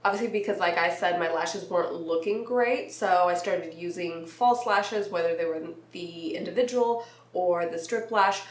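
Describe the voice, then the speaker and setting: A young woman vlogging casually about beauty, chatty and laughing mid-sentence, her voice a little nasal and raspy from a recent illness. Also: on the low side, moderate pace, echoey room. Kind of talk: casual talk to camera.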